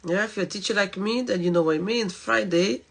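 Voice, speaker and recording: Host role: chatty French-accented woman, mid-pitched, casual live video-call podcast, getting over a cold. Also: fairly quick, creaky. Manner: chatty, warm greetings, lots of "okay" and "all right" fillers. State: recovering from a cold.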